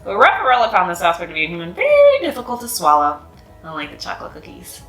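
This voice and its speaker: A woman reading a children's picture book aloud in an expressive, sing-song way. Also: lowish voice, fast talker, gravelly. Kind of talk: storybook read-aloud. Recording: echoey room with faint music.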